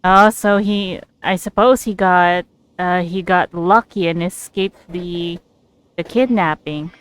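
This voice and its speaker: A woman's voice: lowish voice, fairly even intonation, slow, smooth. A woman giving casual commentary as she plays a video game, musing aloud as she pieces things together.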